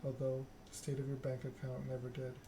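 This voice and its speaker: A man streaming a text game, reading narration aloud in a soft-spoken ASMR style with casual chat. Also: mid-range voice, completely flat delivery, a little fast. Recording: clear background noise.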